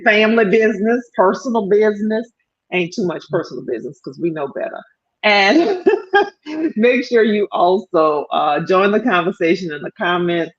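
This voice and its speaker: A middle-aged woman co-hosts a live talk stream in an animated, playful way. Her voice sits in a middling range and comes through dull, lo-fi stream audio. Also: mid-range voice. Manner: animated, playful, laughing often. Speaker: co-host. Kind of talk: lively live-stream chat.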